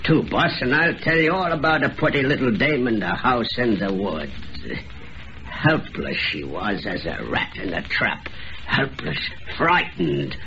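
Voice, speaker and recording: A rough American hobo killer from an old radio play. He is boastful, cruel and wary in his drink, with slangy, uneducated speech that swings widely in pitch. The recording is dull and lightly hissy. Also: heavily acted. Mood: drunken gloating. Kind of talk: old-time American radio drama acting.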